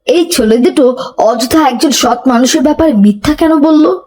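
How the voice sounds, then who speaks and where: A woman's voice: high voice, moderate pace, a little mellow. A woman voicing an earnest, righteous and polite young boy in a cartoon. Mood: reproachful. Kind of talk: cartoon voice acting.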